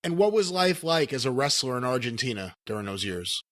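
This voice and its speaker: A man hosting a podcast, asking questions in a measured, curious way. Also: mid-range voice, sing-song, a bit bright. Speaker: podcast host.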